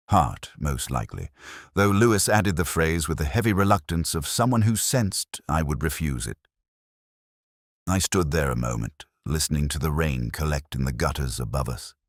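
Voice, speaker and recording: A man playing a world-weary, brooding older police inspector, narrating his case in a measured, literary, sombre way for an audio drama. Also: a bass voice, no room sound, clean studio sound, exaggerated voice. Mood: sombre, reflective. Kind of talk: scripted first-person detective narration, audio drama.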